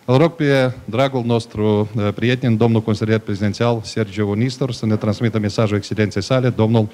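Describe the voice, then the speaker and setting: A man's voice: fairly low pitch, fairly even intonation, a little mellow. A man hosting a book fair opening, introducing the next speaker formally and hesitantly, with frequent fillers, speaking at a lectern microphone. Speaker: event organizer and host.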